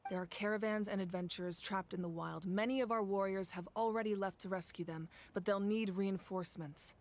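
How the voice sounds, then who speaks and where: An actress playing a weary, resolute woman war leader in a video game, measured and commanding as she gives formal orders. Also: mid-range voice, a little mellow, lightly acted. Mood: grave, resolute.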